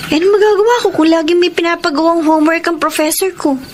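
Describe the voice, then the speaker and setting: A woman's voice: extremely high pitch, fast, a little hiss or hum. A woman playing a resentful, ambitious young wife and student in a Filipino radio drama. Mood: defensive, resentful.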